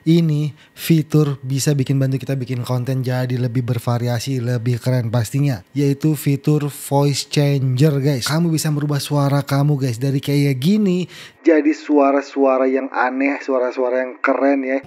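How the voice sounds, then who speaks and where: A man, hosting a casual, chatty software tutorial into a close microphone. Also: medium pitch, steady tone, normal speed. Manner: chatty, frequent "guys" and "ya" tags. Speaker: tutorial host. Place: small indoor home studio. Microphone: close desk microphone.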